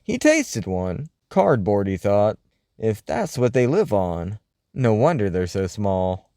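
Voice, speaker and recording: A man's voice: on the low side, sing-song, takes it slowly, dark tone, no room sound, clean studio sound, exaggerated voice. A man playing a flamboyant late-night paranormal radio host, telling stories in a grandiose, wordy showman style. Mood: dramatic storytelling. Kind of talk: scripted comedic radio monologue and storytelling.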